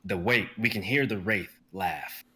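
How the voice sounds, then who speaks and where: A young man narrating stage directions in a live script reading, brisk and vivid, with the odd stumble. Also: on the low side, bright, forceful tone. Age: young adult.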